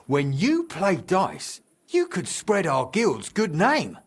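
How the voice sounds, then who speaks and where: A man's voice: high-pitched, slow pace, husky, exaggerated voice. A man playing a jovial, middle-aged medieval guildmaster in a video game, speaking heartily. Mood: confiding. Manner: hearty, expressive. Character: jovial, dice-loving older medieval guildmaster, hearty and comradely. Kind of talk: video game character dialogue.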